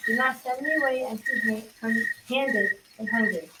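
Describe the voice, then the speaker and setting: A woman's voice: a little high, a little slow, close to an everyday voice. Young woman playing cryptic old forest woman, noisy recording. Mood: indignant, pleading. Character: mysterious poor old woman of the woods, proud and cryptic. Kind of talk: amateur school radio drama acting. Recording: distant mic, loud background noise.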